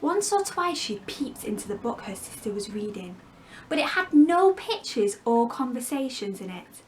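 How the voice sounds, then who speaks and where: A woman reading a children's story aloud in an expressive, dramatic way, with hushed asides. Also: on the high side, normal speed, a little hiss or hum.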